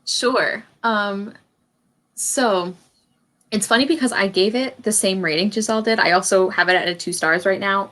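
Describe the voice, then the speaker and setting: A woman's voice: mid-range voice, a bit bright. A woman in a casual book discussion, giving her opinions as a panelist in an informal, conversational way.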